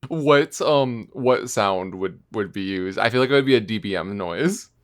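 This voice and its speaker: A man's voice: on the low side, animated, moderate pace. A man co-hosting a podcast in casual chat, a little tipsy. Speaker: podcast co-host.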